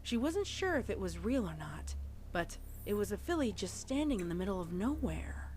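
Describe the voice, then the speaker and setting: A woman's voice: medium pitch, sing-song, normal speed, a little mellow. A woman reading fan fiction aloud as a storyteller, with casual reaction asides. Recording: faint background noise.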